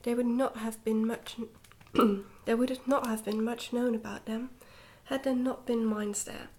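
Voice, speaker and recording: A woman reading a storybook in a soft-spoken ASMR style, slipping often into a whisper, with a gentle, hushed delivery. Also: fairly high pitch, steady tone, measured pace. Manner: gentle, hushed delivery with some stumbles over words. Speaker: ASMR reader, channel host.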